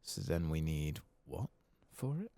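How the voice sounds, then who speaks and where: A man softly narrating a video game playthrough in ASMR style, murmuring and musing hesitantly to himself as he plays. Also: rumbling low, very animated, unhurried, creaky. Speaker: gaming channel host. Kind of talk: soft-spoken ASMR game playthrough commentary.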